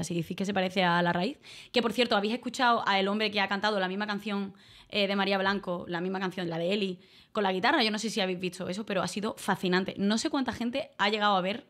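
Chatty woman streamer from Málaga. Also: medium pitch. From Málaga, Spain. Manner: chatty, grateful, laughs often. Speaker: streamer and voice-over artist. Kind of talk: casual livestream chat reading donations.

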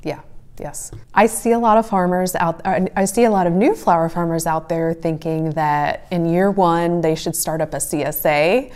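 A woman's voice: on the low side, steady tone, normal speed, a little mellow. A woman in her forties giving conversational, explanatory advice to camera as a farmer and host. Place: small wood-panelled room indoors by a stove.

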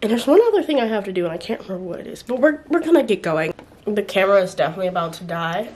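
A woman in her twenties from North Carolina, vlogging casually to a handheld camera and rambling with lots of ums and likes. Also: medium pitch. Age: 20s. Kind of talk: casual vlog chat to camera. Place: parked car. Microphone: handheld camera or phone at arm's length.

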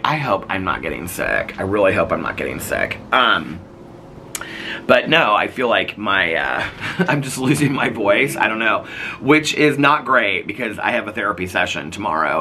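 Midwest guy in his 50s on his porch, low voice but bright and crisp, kinda crackly, rambling vlog chat, faint outdoor noise. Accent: American Midwest. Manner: rambling, chatty, many fillers and restarts. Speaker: vlogger. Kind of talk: casual chat to camera.

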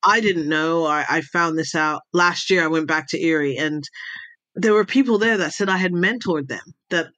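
Woman, mixed American-British accent, bright, animated storytelling, dry video-call sound. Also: low voice, normal speed, no room sound. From Jamaica. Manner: laughs often, animated storytelling. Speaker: interview guest. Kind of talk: casual conversational storytelling.

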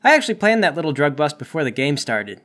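A man's voice: on the high side, big pitch swings, quick pace, fairly bright tone. A man acting as game master, mixing casual banter with narration for the players.

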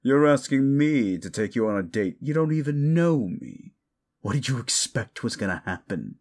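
A man playing a condescending, sardonic ancient demon in an audio roleplay, gravelly, with theatrical, mocking delivery. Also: medium pitch, sing-song, moderate pace, darkish tone. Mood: mocking, scornful. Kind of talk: audio roleplay monologue addressed to the listener.

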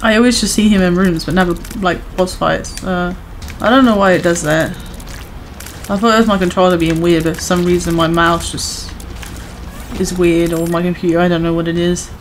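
A woman chatting casually while playing a video game, her voice a little hoarse from talking too much. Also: on the low side, fairly even intonation, normal speed, slight background noise. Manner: chatty, self-reassuring, talks to viewers. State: voice going hoarse from overuse. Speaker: gaming streamer. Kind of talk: casual gameplay commentary.

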